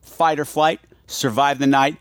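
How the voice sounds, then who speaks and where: A man's voice: medium pitch, fairly even intonation, a little slow, fairly bright tone, clear, resonant tone, dry recording. A man hosting a podcast solo in a casual, confessional way, rambling and self-deprecating, repeating himself for emphasis. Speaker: podcast host.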